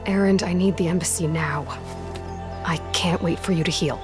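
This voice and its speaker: An actress playing a determined, guarded young warrior woman in a video game, earnest and terse, breathy at phrase ends. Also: fairly low pitch, steady tone, normal speed, music in the background, lightly acted. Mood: resolute. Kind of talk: video game dialogue acting.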